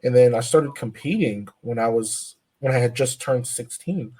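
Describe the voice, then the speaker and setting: A man's voice: medium pitch, moderate pace, dark tone, slightly rough. A man in his twenties, a powerlifter guest on a podcast, talking earnestly with many fillers and restarts. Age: 20s. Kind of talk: casual podcast interview.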